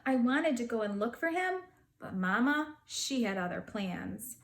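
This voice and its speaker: A woman reading a picture book aloud to schoolchildren in an expressive storytelling manner, with dramatic pauses. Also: on the high side, gravelly. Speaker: school counselor reading to students.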